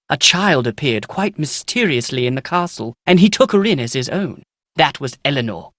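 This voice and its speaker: A bookish historian for a video game. He is precise, scholarly and faintly pompous, with a highly animated pitch. The sound is dry and close. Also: dry recording. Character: bookish, pedantic male historian in a manor library. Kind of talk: game character dialogue.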